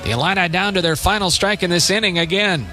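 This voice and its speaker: A man's voice: high-pitched, fast talker, sharp tone, faint music behind. An American man calling baseball play-by-play on live radio in clipped, punchy phrases. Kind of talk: live radio sports commentary. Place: ballpark broadcast position.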